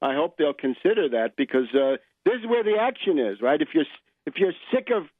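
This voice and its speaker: A man's voice: on the high side, very animated, fairly quick, no echo. Opinionated American man on phone-line radio. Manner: opinionated, sardonic, professorial, often tagging points with "right?". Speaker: radio show host and political commentator. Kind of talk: political talk radio commentary.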